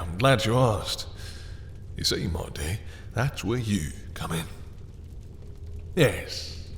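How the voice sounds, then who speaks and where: A man voicing a possessive, sadistic male vampire lord in an audio roleplay, smooth and taunting, with soft chuckles and low whispers. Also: big-room sound, slight background noise.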